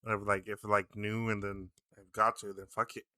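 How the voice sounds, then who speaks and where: A man's voice: fairly low pitch, flat delivery, moderate pace, sharp tone, clean tone, no echo, studio quality. A young man co-hosting a podcast in casual chat, loose and crude, filling his talk with "like". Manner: loose, lots of "like", crude and playful. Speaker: podcast co-host.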